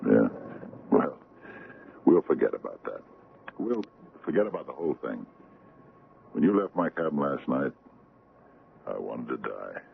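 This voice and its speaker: A gruff, grateful sea captain recovering in a radio play. His voice is extremely deep and heavily creaky. It has the boxy, narrow sound of old radio. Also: big pitch swings, a little slow, long pauses, exaggerated voice. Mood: tender, weary. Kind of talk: 1970s radio drama acting. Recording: tinny vintage radio with background noise.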